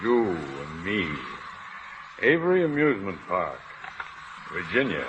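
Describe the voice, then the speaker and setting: Slow, woozy, gloating rival. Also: male voice, sits low, big pitch swings. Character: groggy, gloating man drifting under anesthetic gas. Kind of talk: 1930s radio acting. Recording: noisy old radio recording.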